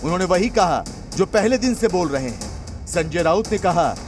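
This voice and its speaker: A man's voice: sits high, very animated, moderate pace. A man reading a scripted news report as voice-over, with an emphatic, dramatic delivery. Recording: voice-over with music bed.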